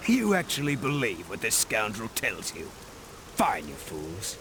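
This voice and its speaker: A man playing a menacing villainous thug in a video game, gravelly and threatening. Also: on the low side, wildly swooping pitch, unhurried, background noise. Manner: threatening, swooping delivery. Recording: loud game music behind.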